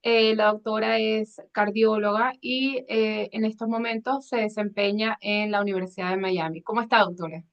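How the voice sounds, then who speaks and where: A woman's voice: medium pitch, fairly even intonation, normal speed, few pauses. A woman hosting a medical podcast interview, asking friendly, hesitant questions with many "eh" fillers. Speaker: podcast host. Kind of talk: hosted interview. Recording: dull lo-fi sound.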